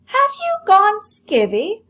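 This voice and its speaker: A woman voicing a brash, bullying woman from a storybook, who speaks too loudly in a scornful, domineering way. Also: extremely high pitch, wildly swooping pitch, takes it slowly, pitched above the performer's own voice, heavily acted. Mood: scornful shouting. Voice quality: loud, shouted delivery.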